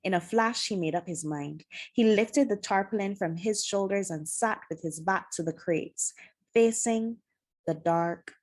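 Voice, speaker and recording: A woman narrates an adventure novel from the page. Her voice sits at an ordinary middle pitch, and she tells the story in an expressive, dramatic storybook style. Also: a little slow. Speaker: storybook reader. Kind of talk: scripted narration, children's adventure novel read aloud.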